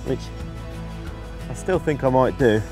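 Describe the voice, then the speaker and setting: A middle-aged man giving relaxed fishing instruction outdoors, in unhurried, halting phrases as he works. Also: mid-range voice, soft background music. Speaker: angling instructor, presenter. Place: outdoors on an open lakeside bank.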